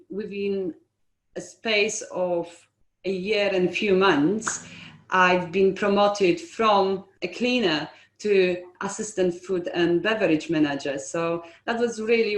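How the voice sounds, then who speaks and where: A woman's voice: mid-range voice, echoey room. A middle-aged woman whose first language is not English, frankly telling her career story in an interview, with frequent ums and restarts. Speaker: estate agency owner, guest.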